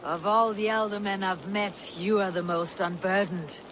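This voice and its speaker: Guy playing a bold viking warrior, highish voice for a man, good humour, noisy outdoor ambience, game cutscene. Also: steady tone, moderate pace, lightly acted. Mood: hearty. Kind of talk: dramatic game cutscene acting. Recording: clear outdoor ambience noise.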